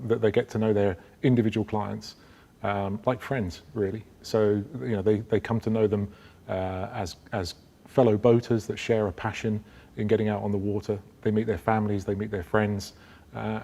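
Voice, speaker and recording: A man answering interview questions about a yacht dealer network, measured, with frequent ums and restarts. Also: on the low side, creaky, mellow, long reverb tail. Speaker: company spokesman.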